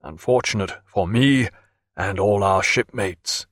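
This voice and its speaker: A man voicing a calm, commanding naval captain in an audiobook. The figure speaks low and measured. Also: dry recording, studio quality. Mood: persuasive.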